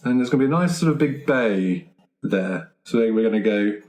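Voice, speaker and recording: A man's voice: on the low side, animated, moderate pace, a little mellow. A man playing a tabletop roleplaying game in a casual, improvised way, speaking in hesitant, repetitive phrases. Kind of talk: casual improvised in-character play. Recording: roomy echo, faint noise.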